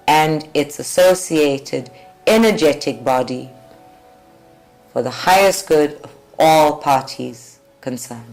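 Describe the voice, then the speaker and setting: Meditation guide, female, unhurried delivery with long pauses, soft music underneath. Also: low voice, rough recording. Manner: deliberate, measured phrasing with long pauses. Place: group meditation at a creativity centre. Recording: live recording with music behind. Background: faint music.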